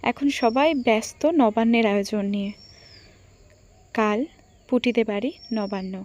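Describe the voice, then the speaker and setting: A woman's voice: fairly high pitch, fairly even intonation, moderate pace, a little mellow. A woman storyteller reading scripted narration for a children's story.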